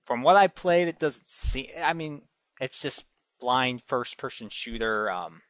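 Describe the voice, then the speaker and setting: A male podcast co-host with a very lively, varied intonation and an average-pitched voice. He chats informally and gives his opinions freely, in a dry recording with no room sound. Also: a little slow, dry recording. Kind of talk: casual chat.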